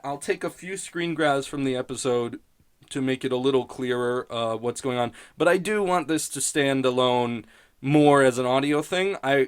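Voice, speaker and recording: A man hosting a podcast in casual, improvised rambling, with a sardonic, profane and self-mocking way of talking. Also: mid-range voice. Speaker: podcast host.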